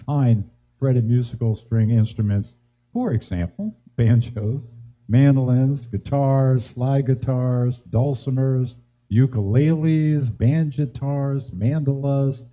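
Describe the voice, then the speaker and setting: A man casually hosting a live music show, introducing it and the acts. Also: mid-range voice. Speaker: host and musician. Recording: dull sound with music behind.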